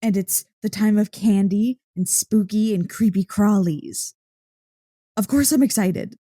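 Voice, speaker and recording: Excitable, mellow-voiced dog-girl played by a woman. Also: mid-range voice, a little slow, no room sound, studio quality, close to an everyday voice. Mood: giddy, excited. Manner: gushing, teasing, playfully indignant. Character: excitable, playful monster dog-girl girlfriend who adores Halloween. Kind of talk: ASMR roleplay, one-sided conversation.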